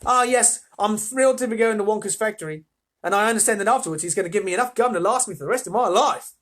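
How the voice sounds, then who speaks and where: A reader voicing a brash, boastful gum-chewing girl from a children's storybook, talking loud and fast in a put-on Australian accent. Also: mid-range voice, voice pushed up, lightly acted. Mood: excited, bragging. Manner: fast, emphatic, chattering.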